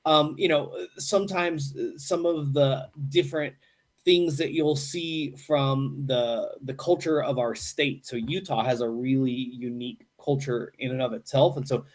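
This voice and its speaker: A middle-aged American man speaking casually in an interview. His voice sits at an ordinary pitch, and he talks in a loose, conversational way. He keeps tagging his points with a quick "right?". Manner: relaxed, chatty, frequent "right?" tags. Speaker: English teacher at a university program.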